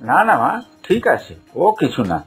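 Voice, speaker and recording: Lady doing a worried young husband in a cartoon, low voice, gentle, bit of music behind. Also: huge pitch swings, moderate pace, exaggerated voice. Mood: reassuring. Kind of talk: animated fairy-tale voice acting.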